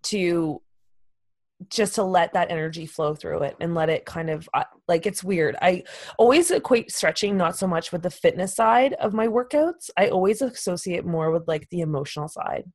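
A woman's voice: on the low side, expressive, normal speed, darkish tone. Hesitant woman with a fading voice. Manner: hesitant, trails off and restarts often. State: fighting a cold, losing her voice. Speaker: podcast co-host. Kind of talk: casual chat.

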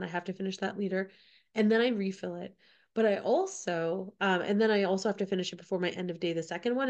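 A woman's voice: medium pitch, a little mellow. A woman in her forties talking through a casual podcast episode, chatty and repetitive, her voice a little off from a cold. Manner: chatty, repeats points, many "like" and "right?". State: getting over a cold, slightly off voice. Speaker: podcast co-host. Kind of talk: casual solo podcast talk.

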